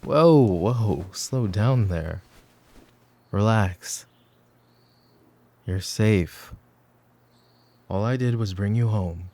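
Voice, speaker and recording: Slow, low-voiced mafia boss played by a man. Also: warm tone. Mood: firm, reassuring. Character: brooding male mafia boss, calm, protective, teasing. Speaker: voice actor. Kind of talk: roleplay ASMR audio drama.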